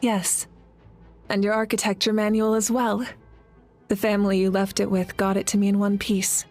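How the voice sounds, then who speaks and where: A woman voicing a solemn, selfless elder-sister heroine in a video game, speaking gently with measured restraint. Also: medium pitch, flat delivery, soft background music, natural voice. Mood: gentle, reassuring.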